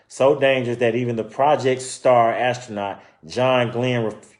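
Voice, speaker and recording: A man with a mid-range voice reads a children's book aloud as a storyteller. He mixes the narration with casual, explanatory asides. His delivery is conversational, and he informally repeats points to make them clear. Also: medium pitch, a little mellow.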